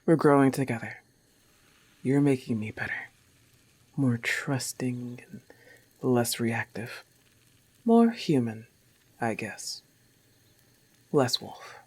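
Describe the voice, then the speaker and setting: A man voicing a possessive but tender young goth wolf girlfriend, soft-spoken, in an intimate audio roleplay. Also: medium pitch, animated, takes it slowly, long pauses, slightly rough. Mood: tender, reflective.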